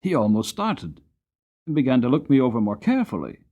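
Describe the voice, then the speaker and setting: A man giving a dramatic storybook narration as an audiobook reader, expressive and deliberate. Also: mid-range voice, dull audio.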